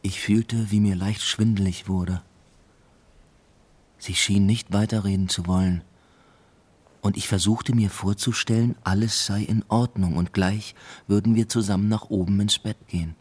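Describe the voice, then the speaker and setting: A man's voice: lowish voice, steady tone, a little slow, darkish tone, breathy edge. An actor playing a subdued, evasive husband who narrates his unravelling marriage in a restrained, literary radio play style.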